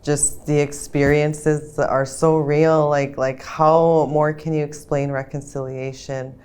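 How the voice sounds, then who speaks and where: A woman's voice: low voice, flat delivery, slow pace, darkish tone, clean tone. A woman host who grew up in Norway House, Manitoba, reflecting earnestly on what she has heard. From Norway House, Manitoba, Canada. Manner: earnest, searching, with fillers and restarts. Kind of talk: casual reflective talk.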